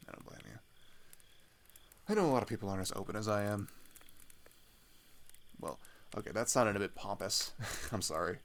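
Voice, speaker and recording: A man playing an open-hearted, weary teenage climber on the run in an ASMR roleplay, speaking in a halting, confiding way with a low, gravelly voice. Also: close to an everyday voice. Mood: candid, sheepish. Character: open-hearted teenage male climber fleeing across a border, weary but caring. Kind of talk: ASMR roleplay audio drama.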